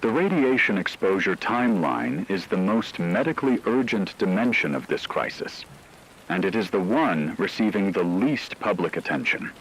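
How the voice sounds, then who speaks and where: A man playing a grave expert in a scripted radio disaster broadcast, speaking in a measured, technical and formal way. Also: sits low, animated, a bit bright, a little hiss or hum, poor audio. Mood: grave, clinical. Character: grave male expert briefing on a global disaster.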